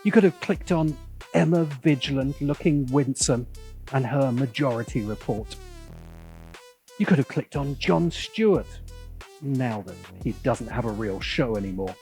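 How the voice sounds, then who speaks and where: A British man narrating a scripted opinion video essay as its host, with a sardonic, theatrical and deliberate delivery. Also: medium pitch, darkish tone, soft background music.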